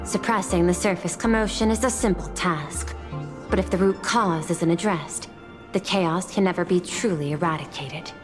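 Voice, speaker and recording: A woman's voice: on the low side, normal speed, natural voice. A woman voicing a cool, precise female corporate official in a game, speaking in a clipped, exact way. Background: game music.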